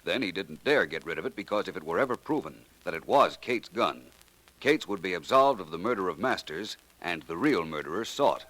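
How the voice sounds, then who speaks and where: An actor in a 1940s radio drama playing a composed gentleman detective who lays out how a murder was done. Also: deep voice, fairly quick, rough recording. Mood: explaining. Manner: calm, explanatory. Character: suave, cool-headed gentleman detective.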